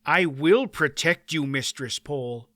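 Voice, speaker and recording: A man's voice: fairly high pitch, moderate pace, bright, forceful tone, smooth, dry recording, studio quality. A man voicing a stubborn, plain-spoken blacksmith who talks bluntly and earnestly. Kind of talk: audiobook character voice.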